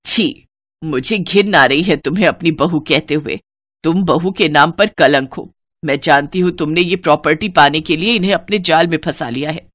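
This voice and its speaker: A woman's voice: low voice, moderate pace, a bit bright, pitched below the performer's own voice. A woman voicing a distressed, scolding elderly mother-in-law in a cartoon, wronged and pleading. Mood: disgusted, defiant.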